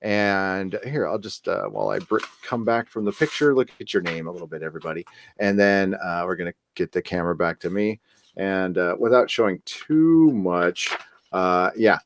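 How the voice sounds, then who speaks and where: A man's voice: low-pitched, moderate pace, gravelly, slight background noise. A man hosting a podcast in loose, joking, casual chat and reading out comments. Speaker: podcast host.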